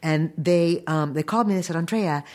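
A woman's voice: fairly low pitch, brisk, a little mellow. An American woman in her fifties telling anecdotes in a casual interview, speaking with enthusiasm and acting out quotes. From Long Island, New York, United States. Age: 50s. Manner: enthusiastic, effusive, animatedly quoting people in stories. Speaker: interview guest, animation voice director.

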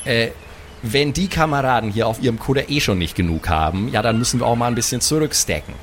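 A man improvising as an old sailor in a tabletop game, gruff and chummy, sometimes adding what his character does. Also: mid-range voice, animated, quick pace, slight background noise. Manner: gruff, chummy, sailor talk with stage directions. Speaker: player voicing an old sailor.

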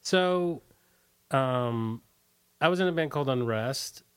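A man with a medium-pitched voice talks as a musician guest in an interview. He reminisces casually and haltingly, wandering through his sentences and often letting them trail away. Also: expressive, a bit bright, clean tone. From Washington, D.C. area, United States. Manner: hesitant, rambling, frequent "like" and trailing off.

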